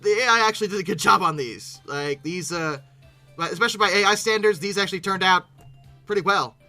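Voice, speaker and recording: A YouTube host giving casual, humorous reaction commentary in a halting, bemused way, often repeating words. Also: medium pitch, pushed and bright, faint music behind.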